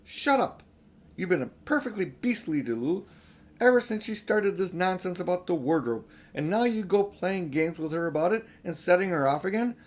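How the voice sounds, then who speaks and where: A man's voice: high-pitched, animated, moderate pace, voice pushed up. A man reading a storybook, voicing an indignant, protective older brother who scolds emphatically. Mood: angry, scolding.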